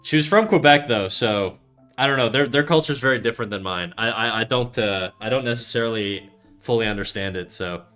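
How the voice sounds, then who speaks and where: A young Canadian man with a bright, forward voice rambles through a laid-back streaming chat, tripping over his words and swearing freely. Soft music sits faintly behind him. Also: mid-range voice, a little fast. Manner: rambling, swears often, many fillers and restarts. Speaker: art streamer. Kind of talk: casual livestream chat reading chat aloud.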